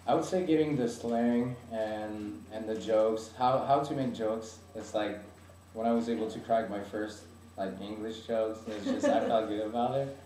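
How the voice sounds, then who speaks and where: A man's voice: lowish voice, moderate pace, mellow, a little hiss or hum. A young man from France whose first language is French, answering interview questions in English with lots of fillers and restarts. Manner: hesitant, many fillers and restarts. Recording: echoey room from a distance.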